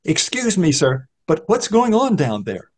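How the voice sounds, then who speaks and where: A man voicing a calm male traveler who asks polite, persistent questions. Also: a little high, unhurried, husky, pitched above the performer's own voice, exaggerated voice. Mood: questioning.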